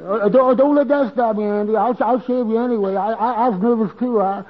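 An actor playing a nervous, bumbling barber with a constant stutter in an old radio comedy, on a muffled recording. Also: male voice, high voice, fairly quick, heavy background noise, natural voice. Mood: flustered. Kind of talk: 1940s radio comedy acting.

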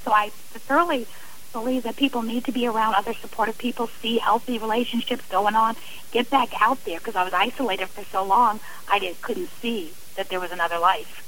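A woman's voice: on the high side, steady tone, fairly quick, a bit bright, slight background noise, rough recording. A middle-aged woman speaking as an interview guest, earnest and emphatic in a conversational way. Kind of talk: personal account in a radio interview.

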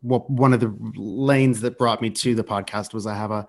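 A man's voice: lowish voice, fairly even intonation, normal speed. A man hosting a podcast in casual chat, often breaking off and restarting his thoughts. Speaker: podcast host.

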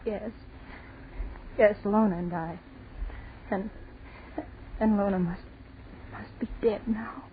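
Casting: actress as grief-stricken young niece, slow delivery, near crying, vintage radio horror, narrow noisy sound. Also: female voice, mid-range voice, steady tone, close to an everyday voice. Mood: distraught, horrified. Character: horrified, grieving young girl, frightened niece. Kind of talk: 1940s radio horror acting. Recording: muffled, noisy 1940s radio recording.

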